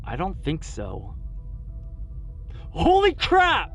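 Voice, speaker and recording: A male actor as a dry, sarcastic scientist in a sci-fi audio drama. His pitch swings widely while the delivery stays slow, with music playing underneath. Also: huge pitch swings, a bit bright, exaggerated voice. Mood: alarmed. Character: sardonic male space scientist on a corporate station.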